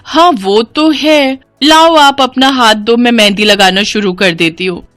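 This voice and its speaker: A woman's voice: fairly high pitch, measured pace, a bit bright, dry recording, faint music behind, pitched above the performer's own voice. A woman voicing a polite, helpful young female henna artist in a cartoon story.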